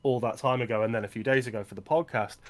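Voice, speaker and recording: A man's voice: medium pitch, fairly even intonation, fast talker. A man co-hosting a film podcast, talking with enthusiasm and stammering on his restarts. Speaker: podcast co-host. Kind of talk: casual film discussion.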